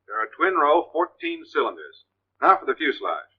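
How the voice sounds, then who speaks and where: An actor playing a seasoned, dry, authoritative American officer instructing in a 1940s military training film. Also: male voice, mid-range voice, big pitch swings, normal speed, husky, dull audio. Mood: brisk, instructive. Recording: noisy old 1940s film soundtrack.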